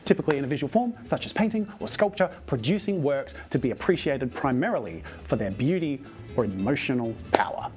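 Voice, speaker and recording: A man delivering scripted, argumentative video essay narration in an articulate, emphatic manner. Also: mid-range voice.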